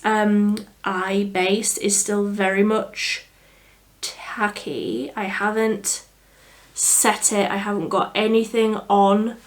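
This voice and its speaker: A young woman with a slightly croaky voice from a cold, chatting casually through a makeup tutorial as a beauty vlogger. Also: medium pitch. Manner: chatty, easygoing, thinking aloud.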